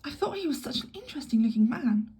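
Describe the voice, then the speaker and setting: A woman's voice: big pitch swings, fast talker, dark tone, slightly rough, heavily acted. A woman voicing a gushing, skittish young lady tourist. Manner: gushing, giggly, shrill. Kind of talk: audiobook character voice.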